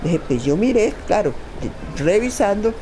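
A woman's voice: mid-range voice, expressive, unhurried, noisy background. A middle-aged woman telling a personal story informally and emphatically, acting out remembered conversations. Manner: emphatic, acts out remembered dialogue. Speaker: interview guest telling a travel story.

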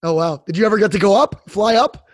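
A man hosting an interview, introducing his guest and asking measured, friendly questions. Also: sits high, no echo, clean studio sound. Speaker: host. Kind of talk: podcast interview.

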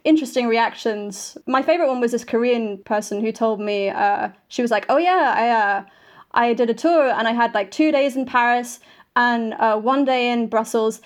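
A woman's voice: on the high side, normal speed. A young woman guest on a podcast, chatting casually with dry, wry asides. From Belgium. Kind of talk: casual chat.

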